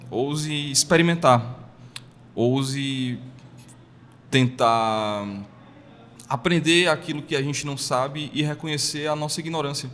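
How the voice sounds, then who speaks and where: A man's voice: medium pitch, a bit bright. A man giving reflective answers as a panel guest, thoughtful and building his points by repetition. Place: makeshift studio at a university campus event. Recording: long reverb, faint ambient noise.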